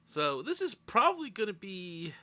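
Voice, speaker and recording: Male host, animated pitch, bright tone, playfully exasperated with his co-host. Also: moderate pace, no room sound. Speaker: podcast host. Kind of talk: casual game chat.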